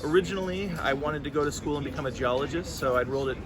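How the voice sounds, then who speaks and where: A man's voice: a little high, racing, crowd noise. A man in his thirties, a farmer from California, answering interview questions earnestly, with many restarts. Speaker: farmer, guest. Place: outdoors at a farmers market. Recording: outdoor street noise, traffic.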